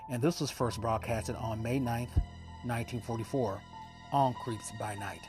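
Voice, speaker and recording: A man hosting a show, reading a scripted introduction in a measured, deliberate way. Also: medium pitch. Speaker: show host. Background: music.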